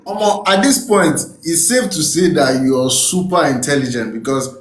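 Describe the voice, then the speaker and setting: A man hosting a casual interview, excitable and teasing, jumping in with quick questions. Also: on the high side. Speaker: podcast host. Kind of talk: casual chat. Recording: roomy echo.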